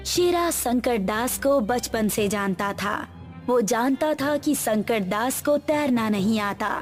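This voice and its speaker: A woman narrating a scripted moral story as a storyteller. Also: a little high.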